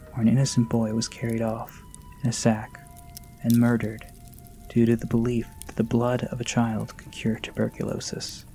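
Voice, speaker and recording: An ominous teller of dark folklore, acted by a man, mellow and level in pitch. The delivery is menacing and scripted, dropping toward a hush, like a horror podcast warning. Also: vocal fry, lightly acted. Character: ominous storyteller warning of a child-eating bogeyman. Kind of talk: spooky scripted legend narration.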